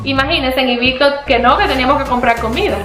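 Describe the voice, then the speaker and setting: A high-voiced Cuban woman whose accent carries a Dominican lilt, chatting through her own story and calling out to her audience. She sounds like she is in an echoey room, with music playing behind her. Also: fast talker, fairly bright tone. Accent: Dominican-tinged Cuban Spanish. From Cuba. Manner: chatty, addresses viewers as "señores". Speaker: storyteller in a reacted video. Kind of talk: personal story told to viewers.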